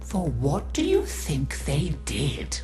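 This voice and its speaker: A woman's voice: low-pitched, wildly swooping pitch, loud music behind the voice, full character voice. A woman playing a theatrical old grandmother who narrates gruesome tales for a video game, savouring each phrase dramatically. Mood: macabre relish. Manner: savouring, dramatic, drawn-out delivery.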